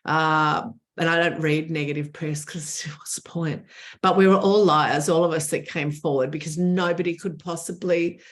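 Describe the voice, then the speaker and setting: Woman, 60s, fairly low voice, earnest, emphatic, repeats words for stress, interview guest. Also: fairly even intonation, moderate pace. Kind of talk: conversational interview.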